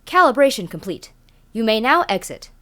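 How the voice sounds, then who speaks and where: A woman's voice: on the high side, sing-song, normal speed, sharp tone. A woman voicing a formal, impersonal automated machine that scolds humans in an audio drama. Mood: cold, officious.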